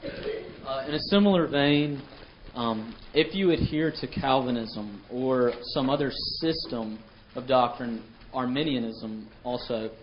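A man's voice: mid-range voice, measured pace, lo-fi sound, slight background noise. Hesitant man posing questions. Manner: hesitant, many fillers and restarts. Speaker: interviewer. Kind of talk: asking prepared questions.

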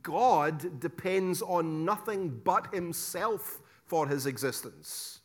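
A middle-aged Scottish man giving a theology lecture, stressing key words loudly, dropping to quiet asides and asking rhetorical questions. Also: fairly high pitch, expressive, slow. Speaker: preacher teaching theology.

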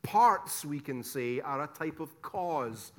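Man, Scottish accent, middle-aged, lecturing on doctrine, higher pitch, punchy stresses, soft asides. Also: expressive, slow. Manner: emphatic, swings between loud stresses and quiet asides, rhetorical questions. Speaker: preacher teaching theology. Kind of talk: theology lecture.

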